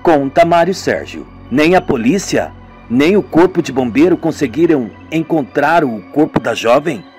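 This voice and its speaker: A man's voice: a little high, very animated, moderate pace, darkish tone. A man with a Brazilian accent narrates a scripted radio serial theatrically, voicing each part himself. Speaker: narrator of an amateur radio serial, doing all the parts. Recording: music behind the voice. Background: music.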